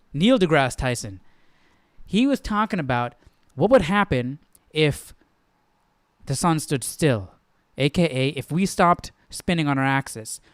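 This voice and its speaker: A man's voice: a little high, no echo, clean studio sound. A man hosting a live Bible study, thinking aloud in a conversational, questioning way. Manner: conversational, questioning, repeats himself for emphasis.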